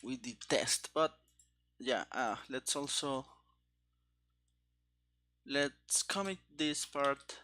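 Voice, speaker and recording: A game developer thinking aloud while programming, speaking casually and haltingly, as if muttering to themself. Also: mid-range voice, fairly bright tone. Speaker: game developer streaming their coding. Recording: keyboard clicks and faint background noise. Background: typing and mouse clicks.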